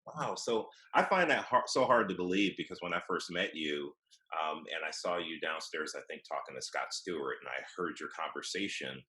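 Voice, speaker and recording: A man's voice: a bass voice, lively intonation, moderate pace, sharp tone. A man hosting a podcast interview, encouraging his guest with short replies and upbeat comments. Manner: encouraging, brief affirmations. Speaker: podcast host. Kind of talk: casual interview.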